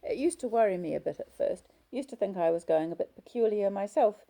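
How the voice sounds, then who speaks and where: A woman voicing a chatty, gossipy nurse who talks briskly. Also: mid-range voice, animated, creaky, dark tone, voice pushed up. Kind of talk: audiobook character voice.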